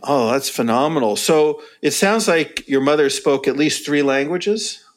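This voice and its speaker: A man hosting a podcast, reading a formal introduction and asking interview questions in a measured way. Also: a little high. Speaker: podcast host.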